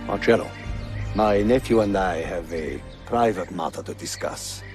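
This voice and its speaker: A man's voice: sits low, moderate pace. An actor playing a proud, scheming Ottoman prince and uncle in a video game, speaking formally and theatrically. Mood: cool, pointed. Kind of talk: cinematic video game acting. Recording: music behind the dialogue.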